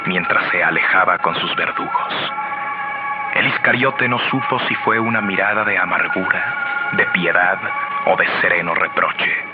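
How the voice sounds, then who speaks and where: Low-voiced male narrator, loud music behind. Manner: grave, deliberate, dramatic. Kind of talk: solemn scripted radio drama narration. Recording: lo-fi radio broadcast over loud music.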